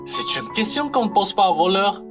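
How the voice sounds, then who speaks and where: A young woman playing a gruff but soft-hearted male thief, condescending and easily flustered, in a comic radio play. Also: mid-range voice, expressive, normal speed, natural voice. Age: young adult. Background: music.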